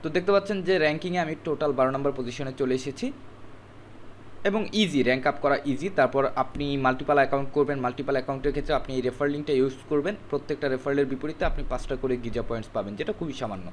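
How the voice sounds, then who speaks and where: A man's voice: mid-range voice, rapid-fire, slight background noise. A man narrating a screen tutorial step by step, instructive and mixing in English words. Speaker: tutorial presenter.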